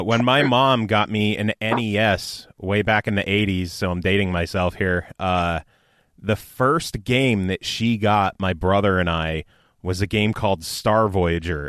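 A man in his thirties hosting a podcast, telling stories in an animated, chatty way. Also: medium pitch, dry recording, clean studio sound. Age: 30s. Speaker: podcast host. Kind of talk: casual chat.

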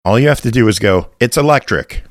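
A man's voice: mid-range voice, animated, fairly quick, no room sound, studio quality. A man in his forties chatting with wry, teasing humor. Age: 40s. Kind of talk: casual chat.

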